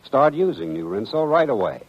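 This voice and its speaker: A man working as a radio announcer, delivering a direct, persuasive 1940s advertising pitch in a husky voice. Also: very animated, moderate pace. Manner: direct, persuasive address to the listener. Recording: muffled vintage radio recording.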